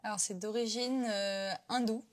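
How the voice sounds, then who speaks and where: A woman's voice: mid-range voice, fairly even intonation, moderate pace. Chatty young woman from Côte d'Ivoire. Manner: chatty, many fillers and restarts. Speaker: interview guest. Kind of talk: casual interview chat.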